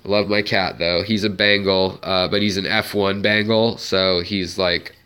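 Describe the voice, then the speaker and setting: A man's voice: low voice, level tone, unhurried, sharp tone, clear, resonant tone. A young man with a stuffed-up nose, chatting casually and rambling on a home live stream beside his fish tank. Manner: rambling, chatty, many fillers. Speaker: aquarium channel host.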